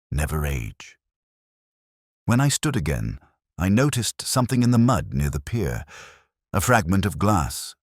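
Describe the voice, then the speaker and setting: A man playing a melancholy, reflective police detective, narrating an audio drama in a measured, literary manner. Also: sits low, slightly rough, no echo, studio quality, exaggerated voice. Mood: pensive. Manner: measured, literary, brooding delivery. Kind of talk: scripted first-person audio drama narration.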